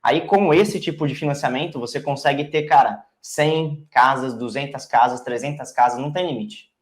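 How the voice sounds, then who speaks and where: Homem brasileiro explicando, sala com eco. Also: male voice, mid-range voice, normal speed, echoey room. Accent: Brazilian Portuguese. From Brazil. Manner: explanatory, lists numbers, uses "cara" and "né". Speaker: guest, real estate broker and investor. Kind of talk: casual interview talk, explaining.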